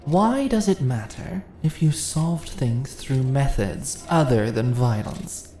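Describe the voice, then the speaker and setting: A woman's voice: very deep voice, animated, slow, dark tone, exaggerated voice. A woman playing a sly, commanding immortal dungeon mistress in a fantasy ASMR roleplay, teasing and condescending in a theatrically persuasive way. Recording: echoey room with faint noise.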